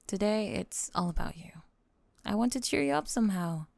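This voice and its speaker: A woman playing a doting, possessive lamia college roommate in an ASMR roleplay, speaking softly and coaxingly, close to the microphone. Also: medium pitch, expressive, no room sound. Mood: caring, quietly menacing. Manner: coaxing, doting, breathy pauses with sighs and soft giggles. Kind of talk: ASMR roleplay acting, spoken to the listener. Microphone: close microphone in a dry space.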